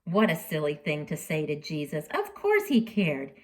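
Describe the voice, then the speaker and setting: A woman storyteller reading expressively to children, dramatic in delivery, with deliberate pauses and emphasis. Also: deep voice, huge pitch swings.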